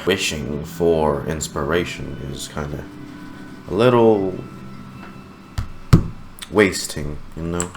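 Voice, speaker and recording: A man's voice: very deep voice, takes it slowly, pauses often, noisy background. A man giving casual reaction commentary as a streamer while playing a game. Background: game music.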